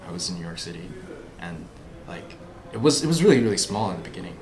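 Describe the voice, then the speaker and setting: Very deep-voiced casual man. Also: sing-song, fast talker. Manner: casual, many "like"s. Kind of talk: casual chat. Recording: echoey with loud noise.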